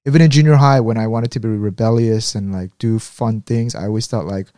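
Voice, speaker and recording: A man's voice: lowish voice, steady tone, brisk, warm tone, dry recording, studio quality. A man who grew up in the United States, speaking as an interview guest and telling his story frankly, often restarting his sentences. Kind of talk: candid conversational storytelling.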